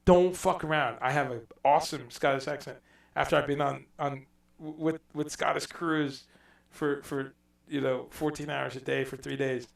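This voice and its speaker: Male livestream host, chatty and rambling, raised gravelly voice, echoey room with the mic a bit far off. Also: a little high, fairly even intonation, normal speed. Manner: rambling, reads out viewer comments, swears casually. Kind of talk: casual livestream chat.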